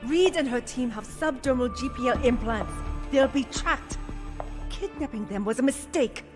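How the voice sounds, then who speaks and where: Actress as high-voiced scheming corporate villainess. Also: female voice, normal speed, lightly acted. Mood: imperious, irritated. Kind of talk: video game voice acting. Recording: music under game dialogue.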